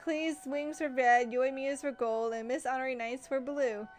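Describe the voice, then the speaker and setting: A woman's voice: high voice, fairly even intonation, moderate pace, soft background music. A woman game streamer reading game text aloud like a storybook and reacting live in a gushing manner.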